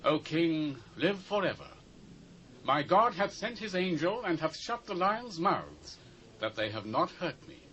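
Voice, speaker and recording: A British actor playing a devout, awestruck prophet in a dramatised Bible reading, speaking with measured, emphatic drama. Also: male voice, a little high, sing-song, sharp tone, exaggerated voice. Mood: earnest. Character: devout male prophet, awestruck and earnest visionary. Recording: old cassette with faint hiss.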